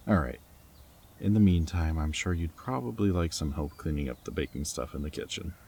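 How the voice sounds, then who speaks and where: A man's voice: low-pitched, a little mellow, a little hiss or hum. A man playing a goofy, affectionate young rebel scientist in an intimate roleplay audio drama, talking tenderly and earnestly to his partner. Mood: warm, affectionate. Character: goofy, affectionate young male rebel lab scientist and anxious foster parent.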